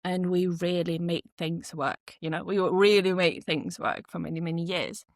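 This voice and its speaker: A woman's voice: lowish voice, fairly even intonation, moderate pace, dry recording, clean studio sound. A woman in her thirties from Austria, a podcast guest telling her personal story in a chatty way, often laughing and restarting her sentences.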